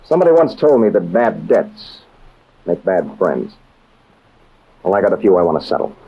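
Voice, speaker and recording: An actor playing a tough, loyal American adventurer with terse, slangy, hard-boiled lines in a 1940s radio drama. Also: male voice, deep voice, sing-song, moderate pace, slightly rough, heavily acted. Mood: subdued, resolute. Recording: muffled vintage radio recording, faint noise.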